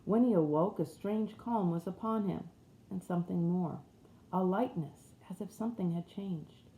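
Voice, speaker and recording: Mellow woman narrator in a roomy space. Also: on the low side, normal speed. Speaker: audiobook reader. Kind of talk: scripted storybook narration. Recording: roomy echo with faint background noise.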